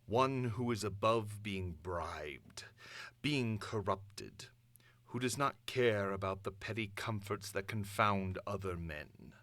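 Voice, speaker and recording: A man performing a grandiose supervillain dictator's monologue, pompous and deliberate, addressing the world in imperious, theatrical declamation. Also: fairly low pitch, a bit bright, no echo. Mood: stern, imperious. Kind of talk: dramatic villain monologue.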